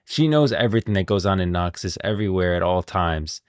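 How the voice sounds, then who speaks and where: A man's voice: deep voice, lively intonation, fairly quick, clear, resonant tone, no room sound, clean studio sound. A man giving casual livestream commentary on game lore, informal and insistent, thinking aloud.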